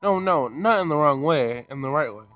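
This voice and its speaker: A man voicing a shy, earnest young man in a visual novel, speaking in a halting, hesitant way. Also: on the high side, lively intonation, a bit bright. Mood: embarrassed.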